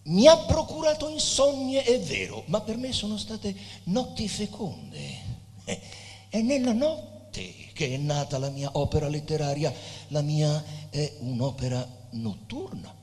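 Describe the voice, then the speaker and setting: An actor playing a weary, reverent dying poet in a theatrical reading, declamatory yet hesitant, with phrases that fade toward whispers. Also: male voice, a little high, big pitch swings, measured pace, darkish tone. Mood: reflective, gently coaxing. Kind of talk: theatrical monologue with narration, live literary stage reading. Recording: reverberant hall with music.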